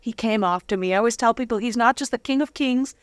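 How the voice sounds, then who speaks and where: A woman's voice: a little high, fast, clear, resonant tone, dry recording, clean studio sound. A woman telling her personal testimony as an interview guest, with dramatic, candid storytelling and slangy humour.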